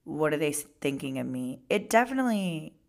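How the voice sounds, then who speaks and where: Raspy, low-voiced young woman podcaster. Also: sing-song, a little fast, darkish tone. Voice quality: raspy, hoarse today. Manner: chatty, lots of "like" fillers. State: hoarse from singing and screaming. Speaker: podcast host. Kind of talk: casual solo podcast talk.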